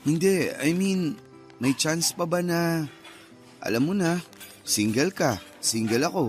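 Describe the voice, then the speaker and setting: A man's voice: on the high side, animated, slow pace. An actor playing an earnest, agreeable young man courting an old flame in a Filipino radio drama, in a tentative conversational manner. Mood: hopeful, tentative. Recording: music under the voice.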